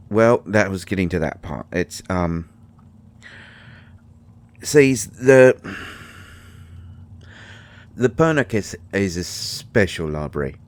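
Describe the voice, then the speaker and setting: A man's voice: low voice, expressive, slow, pauses often. A man playing a grumpy, secretive fairy healer in an intimate roleplay audio, hesitant and exasperated with wry sarcasm. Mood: hesitant, explaining.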